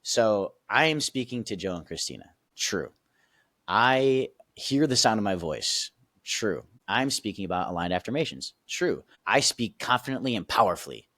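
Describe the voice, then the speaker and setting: A man's voice: lowish voice, very animated, moderate pace, fairly bright tone, dry recording. A man on a podcast as a guest coach, explaining his method casually and emphatically, checking in with the listeners as he goes and laughing easily.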